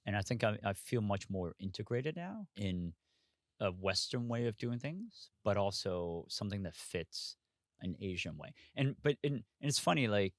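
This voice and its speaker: A man from Hong Kong speaks as an investor guest in a relaxed interview. His voice sits low, and the sound is dry and close, with no room around it. He talks candidly, circling his points with asides and restarts. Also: normal speed, dry recording. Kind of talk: casual interview conversation.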